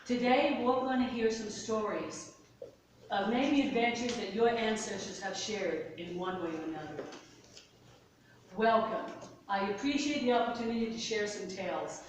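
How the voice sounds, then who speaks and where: Soft-spoken woman storyteller in an echoey hall. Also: normal speed, slight background noise. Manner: soft-spoken, animated storytelling with sudden pitch lifts. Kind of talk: oral storytelling to a live audience. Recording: far-off mic in an echoey hall.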